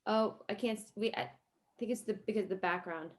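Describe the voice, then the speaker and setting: Woman, medium pitch, casual group conversation, informal host. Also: a little fast. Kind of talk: casual group chat about embroidery.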